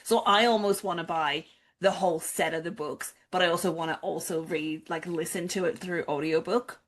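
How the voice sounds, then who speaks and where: A woman's voice: lowish voice, fairly even intonation, moderate pace, a little hiss or hum. A woman co-hosting a book club podcast, chatting in an informal, gushing way. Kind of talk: casual chat about books.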